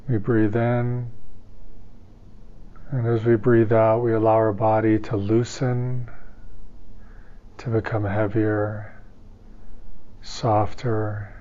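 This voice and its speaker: A man leading a guided meditation as a teacher, giving calm, gentle instructions slowly with long pauses. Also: fairly low pitch, lo-fi sound.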